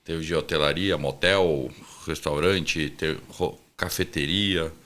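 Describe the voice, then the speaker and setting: A man's voice: extremely low pitch, steady tone, slow, a bit bright. A middle-aged man chatting casually as an interview guest, informal and anecdotal, often acting out bits of remembered conversation. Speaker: guest, restaurant chain founder and chief executive.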